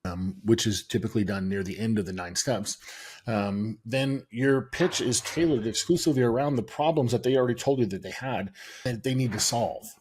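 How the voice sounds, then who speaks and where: A middle-aged American man with a low, husky voice explains his sales process as an interview guest. He speaks in a measured, steady way, pausing often with "um". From United States. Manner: measured, steady, many "um" pauses. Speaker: guest, sales and software founder.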